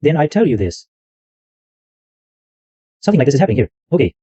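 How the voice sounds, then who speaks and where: Man narrating a lively click-by-click walkthrough. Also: mid-range voice, a little fast, husky, dry recording. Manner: narrates each click step by step, loose wording. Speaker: tutorial host. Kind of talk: screen-recorded software walkthrough. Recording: dull lo-fi dry mic sound.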